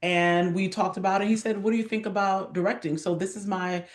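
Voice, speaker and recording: A woman's voice: medium pitch, fairly even intonation, fairly quick. A woman speaking as an interview guest, chatting casually and enthusiastically about directing. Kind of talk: casual promotional interview chat. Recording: roomy, echoey sound.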